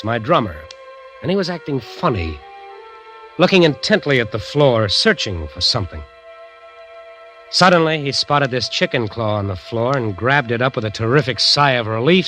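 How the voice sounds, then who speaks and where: A haunted American bandleader telling his nightmare story in an old radio thriller. He speaks at a middle pitch with intense, suspenseful drama, over clear music on a vintage recording. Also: a bit bright. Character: haunted bandleader narrating his own eerie nightmare tale. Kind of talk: 1940s-50s American radio drama narration.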